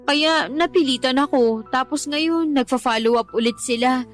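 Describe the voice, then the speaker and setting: A woman's voice: high voice, normal speed, lightly acted. An actress playing a defensive, easily irritated live-in girlfriend in a Tagalog radio drama, whining and argumentative. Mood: uneasy confessing. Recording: dramatic music bed behind the voice.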